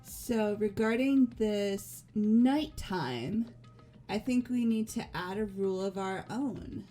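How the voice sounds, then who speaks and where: A woman voicing a game character in a fan dub, calm and composed. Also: mid-range voice. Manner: calm, measured, composed delivery. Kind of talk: fan voice acting of a game script. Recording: faint music behind. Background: faint game music.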